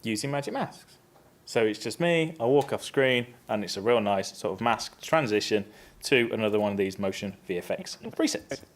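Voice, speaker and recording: A man presenting a casual, upbeat software tutorial on a conference stage, stepping through each click. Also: fairly low pitch, normal speed. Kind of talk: live software tutorial talk.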